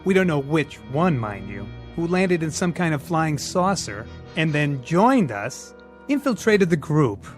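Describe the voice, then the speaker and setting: An actor playing a measured, deliberate, articulate male bus passenger reasoning aloud in an audio drama. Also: on the high side, big pitch swings, soft background music. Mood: probing, reasoned.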